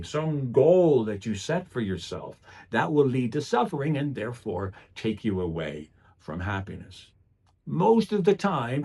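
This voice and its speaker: Husky, animated man giving a speech. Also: medium pitch. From Ireland. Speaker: public speaker. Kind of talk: motivational club speech with storytelling.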